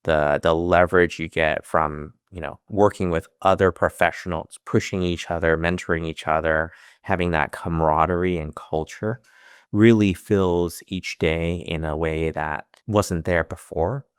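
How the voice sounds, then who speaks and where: A man in his thirties with a low, smooth, resonant voice, speaking earnestly as an interview guest. He grew up in America speaking Chinese at home first, and he often restarts his phrases. The sound is dry and close. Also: fairly even intonation, measured pace, no echo. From United States. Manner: earnest, with frequent restarts and fillers. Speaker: financial advisor, interview guest. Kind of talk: interview answers.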